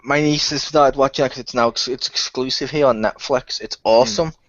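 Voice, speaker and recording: A man's voice: medium pitch, fairly quick, fairly bright tone, dry recording. A man chatting casually as a cast member, teasing the others and laughing a lot. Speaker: cast member of an audio drama. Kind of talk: casual chat. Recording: dull lo-fi, dry sound.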